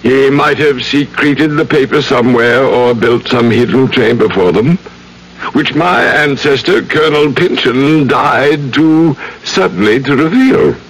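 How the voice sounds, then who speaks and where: An actor playing a haughty, scheming heir in a radio drama, with a formal, curt way of talking. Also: male voice, mid-range voice, unhurried, rough recording. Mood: calculating. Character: haughty, scheming male heir of a landed family.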